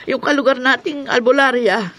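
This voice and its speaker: A woman's voice: high voice, moderate pace, fairly bright tone. An actress playing a worried, protective mother who speaks with tearful emotion. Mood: emotional.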